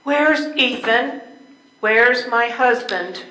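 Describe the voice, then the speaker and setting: An older woman playing a lonely, neglected wife in an amateur stage reading, plaintive and deliberate. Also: on the high side, sharp tone, full character voice. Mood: hurt. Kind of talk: amateur readers theater play. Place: indoor live stage reading. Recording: echoey room.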